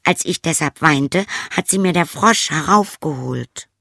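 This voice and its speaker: A tearful young fairy-tale princess, as a man puts her on, her voice lifted very high and pleading. The tone is bright and sharp. It sounds close and dry, with no room around it. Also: unhurried, gravelly, no room sound, full character voice. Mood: anxious.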